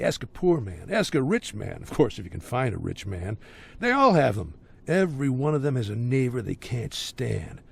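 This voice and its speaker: An actor playing a jovial but menacing small-town crime boss in a game cutscene, rambling with folksy sarcasm and rhetorical questions. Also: male voice, mid-range voice, gravelly, breathy edge, heavily acted. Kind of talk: video game cutscene acting.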